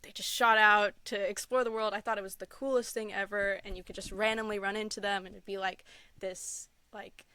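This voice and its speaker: A woman's voice: medium pitch, flat delivery, a little fast, sharp tone, clear, resonant tone. A woman chatting casually as a podcast guest, telling personal stories in an enthusiastic, giggly manner. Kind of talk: casual interview chat, personal storytelling.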